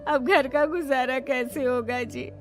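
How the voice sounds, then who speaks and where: A woman's voice: high-pitched, fairly even intonation, unhurried, faint music behind. A woman playing a poor, anxious wife and mother in a cartoon moral story, with a plaintive, emotive delivery. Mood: tearful, desperate. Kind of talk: Hindi animated moral story dialogue.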